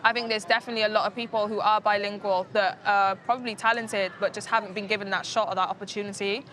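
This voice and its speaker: A woman's voice: medium pitch, steady tone, fast, fairly bright tone. Young Cardiff woman rapper talking. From Wales. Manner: thoughtful, with fillers and restarts. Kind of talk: casual interview. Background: music at an outdoor festival.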